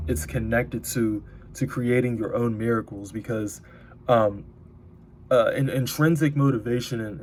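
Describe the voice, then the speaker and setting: A nineteen-year-old man giving a casual motivational talk to viewers, earnest and halting, often repeating words as he thinks aloud. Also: mid-range voice. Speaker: vlogger. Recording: clearly noisy, constant ambient background noise.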